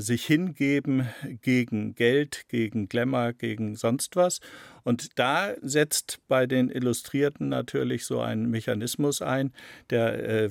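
A man giving analytical interview answers as an expert, deliberate and explanatory, stressing key words. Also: medium pitch, gravelly, darkish tone, dry recording, clean studio sound. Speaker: interviewed expert on a criminal case.

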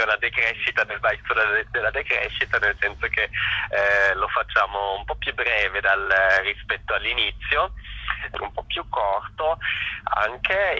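Very sharp, bright, lowish male voice. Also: rough recording. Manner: hesitant, searching for words. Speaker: event organizer being interviewed. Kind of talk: informal spontaneous interview answers.

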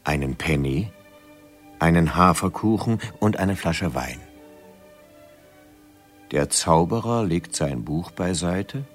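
A man narrating a radio drama as a storyteller, measured and suspenseful. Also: sits low, lively intonation, soft background music.

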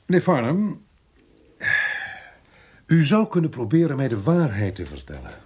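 A dogged police inspector in a Dutch radio drama. The voice is husky, with animated rises and falls. He sounds suspicious and deliberate, making every point firmly. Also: medium pitch, full character voice. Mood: accusing, firm. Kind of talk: Dutch radio play acting with first-person narration.

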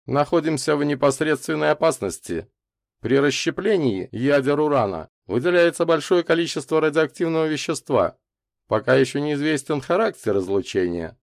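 Casting: sly male academic in his forties for storybook fiction, read by a man, measured delivery, lifted pitch, dry close sound. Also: lively intonation, gravelly, dry recording. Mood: mock grave. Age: 40s. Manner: measured, restrained, scholarly. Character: lean forty-year-old male professor, sly, measured, teasing intellectual.

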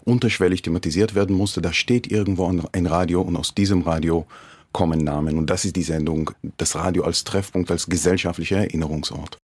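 A man in his forties from Israel speaks as an author being interviewed. His voice is very deep, and he answers freely and conversationally. The recording is dry and close. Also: fairly even intonation, brisk, a little mellow, slightly rough, no room sound. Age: 40s.

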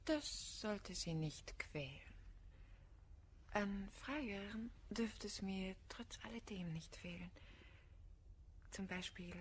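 Unhurried, composed lady, faint noise. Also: mid-range voice, expressive. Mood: cool, breezy. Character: composed, candid young woman declining a suitor. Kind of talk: German classical verse radio drama acting.

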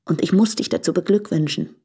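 Husky, regretful woman letter writer. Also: fairly low pitch, a little fast, mellow. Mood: reflective. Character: tender, regretful woman writing to her former lover. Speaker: radio drama actor. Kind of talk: intimate literary German radio play monologue.

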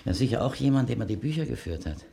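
An actor playing a measured, sceptical senior police detective in a radio crime drama from the 1970s. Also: male voice, medium pitch, big pitch swings, creaky, mellow. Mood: musing, curious.

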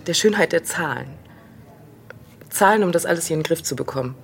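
A female actor in a radio play playing a sober, self-assured professional woman with a measured, matter-of-fact manner. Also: sits low, animated, vocal fry, a little hiss or hum. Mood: earnest.

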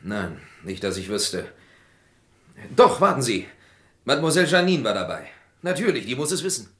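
A man's voice: medium pitch, big pitch swings, normal speed, fairly bright tone. An actor playing a guarded, formal man under questioning in a German crime radio play, recorded with a roomy echo. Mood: insistent.

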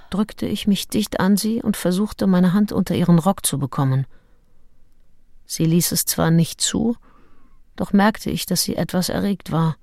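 An actress in a radio play voicing a frank, passionate nineteenth-century woman diarist, husky and confiding. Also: low voice, a little mellow, dry recording, studio quality. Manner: confiding, intimate. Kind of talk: radio play, intimate diary monologue.

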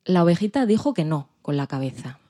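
A woman's voice: fairly low pitch, big pitch swings, a little fast, warm tone. A woman with an accent from Spain, narrating expressively as a podcast storyteller. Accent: Spanish (Spain).

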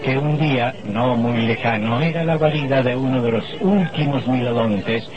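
A man's voice: medium pitch, normal speed, crowd noise. A man narrating an old radio drama from a script in a solemn, dramatic, literary manner. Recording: muffled old radio recording.

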